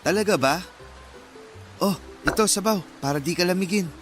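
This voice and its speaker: A male actor plays a working-class Filipino youth of nineteen in a radio drama. His voice is high for a man. He speaks politely and modestly, with friendly eagerness, over faint music. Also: normal speed, close to an everyday voice. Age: young adult. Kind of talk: Tagalog radio drama acting.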